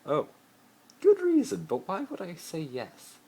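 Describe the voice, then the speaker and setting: A man's voice: sits high, huge pitch swings, measured pace, gravelly, darkish tone, breathy edge, pitched above the performer's own voice, full character voice. A man voicing a spoiled, pleading, haughty teenage wizard boy in an amateur dramatic fan fiction reading. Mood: indignant. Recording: noisy amateur phone recording.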